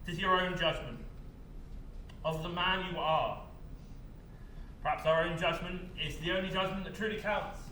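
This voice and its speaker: A man acting a weary, philosophical afterlife official on stage, speaking in measured, cryptic lines. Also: high voice, pushed and bright. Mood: solemn. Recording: echoey stage, distant mic, faint noise.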